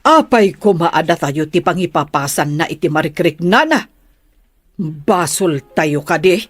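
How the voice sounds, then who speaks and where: A woman's voice: lowish voice, animated, measured pace, slightly rough, no room sound. A woman with an Ilocano accent playing an exasperated, complaining wealthy mother in a radio drama. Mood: bitter, weary. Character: exasperated wealthy madam, weary mother of a sick son.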